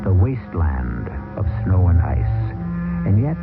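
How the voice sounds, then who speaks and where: Grave, slow-spoken radio host, a man. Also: low-pitched, big pitch swings, vocal fry. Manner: grave, ominous and measured. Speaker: radio drama host and narrator. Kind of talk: scripted radio drama narration. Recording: tinny old radio broadcast with music.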